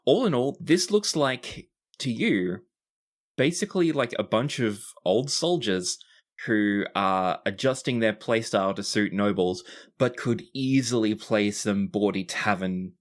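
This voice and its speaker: A man's voice: on the low side, animated, measured pace. A man narrating a tabletop roleplaying game as game master, with music playing behind him. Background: background music.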